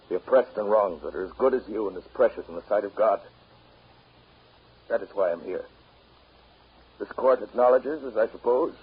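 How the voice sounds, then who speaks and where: A very husky old man of righteous conviction. He speaks in grave, deliberate oratory like a condemned man addressing his judges. The sound is a narrow, phone-like 1930s radio drama recording. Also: fairly low pitch. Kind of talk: 1930s radio drama acting, courtroom speech.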